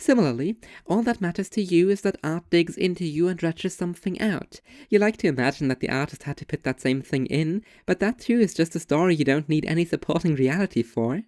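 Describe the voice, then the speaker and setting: An intense, sardonic young woman played by a performer argues her case in deliberate, articulate sentences. The voice sits at a middling pitch and is recorded very dry and close. It sounds like scripted literary dialogue, opinionated throughout. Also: expressive, a little mellow, dry recording, close to an everyday voice. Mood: argumentative, sardonic. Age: young adult. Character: two young women debating art, intense and sardonic. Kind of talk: scripted literary dialogue, argumentative monologue delivery.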